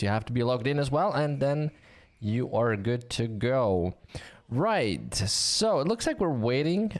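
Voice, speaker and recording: A man hosting a live game stream with a sore throat, chatting in an upbeat way with many fillers. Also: mid-range voice.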